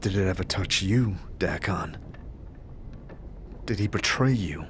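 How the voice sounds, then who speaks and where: A man's voice: low-pitched, measured pace, a bit bright, slight background noise. Immortal fantasy wanderer, acted by a man: earnest and insistent, stumbling over urgent questions, troubled. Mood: probing, insistent. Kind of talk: fantasy audio drama acting.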